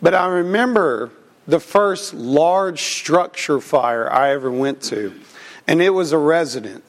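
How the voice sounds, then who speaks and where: A man preaching at an unhurried, deliberate pace, his voice slightly froggy as he recovers from a cold. His pitch sits a little high for a man. He reads scripture, prays, and tells stories from the pulpit. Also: expressive. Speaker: pastor. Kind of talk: sermon with prayer and personal story.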